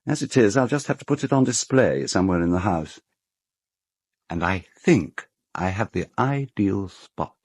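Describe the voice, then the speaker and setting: Urbane, husky, lively-pitched British gentleman. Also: dry recording, studio quality. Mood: amused. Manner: urbane, amused, fastidious. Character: cultured, wry middle-aged gentleman collector of Victoriana. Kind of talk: radio drama acting.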